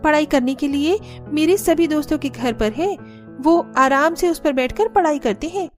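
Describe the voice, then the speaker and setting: A very high, whiny young student girl from a cartoon family drama, played by a woman. She pleads and complains, with faint music behind her. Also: moderate pace, natural voice. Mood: pleading, whiny. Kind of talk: Hindi cartoon story dialogue.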